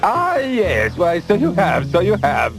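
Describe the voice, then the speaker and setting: A blustering fairy-tale cartoon king, pompous and easily flustered. The voice is high and creaky, leaping wildly up and down over background music. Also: huge pitch swings, a little slow, heavily acted. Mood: stunned, flustered. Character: blustering, scheming, nervous old king. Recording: music behind the voice.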